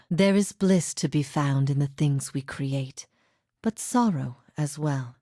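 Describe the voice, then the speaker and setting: A woman playing a solemn, resolute heretic spirit in a video game, speaking in a grave, measured, contemplative way. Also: sits low, darkish tone, no room sound, clean studio sound, full character voice. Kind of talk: dramatic video game dialogue.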